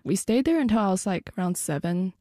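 A woman's voice: mid-range voice, lively intonation, quick pace, warm tone, no room sound, studio quality. A sixteen-year-old girl from the United States chatting casually as an interview guest, youthful and informal. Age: 16, teenager. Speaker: guest, student classical pianist.

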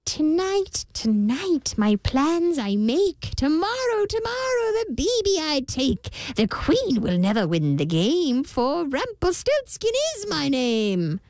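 Woman putting on a gloating, high-pitched little imp. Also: big pitch swings, no room sound. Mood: gleeful. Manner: taunting, drawn-out delivery. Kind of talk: children's fairy tale storytelling.

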